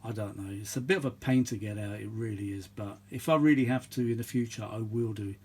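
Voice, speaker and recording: A man's voice: lowish voice, fairly quick, darkish tone, husky. A middle-aged or older man giving casual, chatty repair commentary, rambling and thinking aloud as he works. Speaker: vintage audio repair vlogger.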